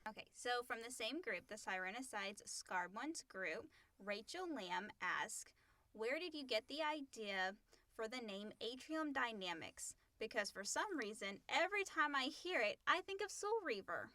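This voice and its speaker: A woman with a bright, fairly high voice in a very dry recording. She speaks as a casual podcast co-host going through listener questions. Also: very animated, normal speed, dry recording. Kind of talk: casual Q&A, reading questions aloud.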